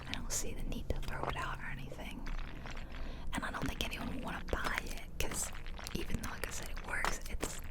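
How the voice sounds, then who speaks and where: A woman in her twenties rambling casually in a soft, unvoiced whisper for ASMR, close to the microphone. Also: brisk. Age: 20s. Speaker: ASMR video host. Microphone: close ear-to-ear ASMR microphone.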